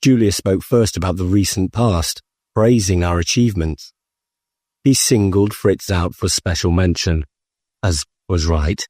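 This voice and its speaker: A man reading a first-person story as an audiobook narrator, in a measured, deliberate manner. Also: deep voice, darkish tone, no echo, studio quality.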